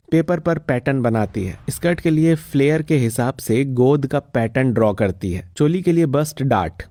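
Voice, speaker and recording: A man's voice: mid-range voice, mellow, no room sound, clean studio sound. A man reading scripted narration for a cartoon family story.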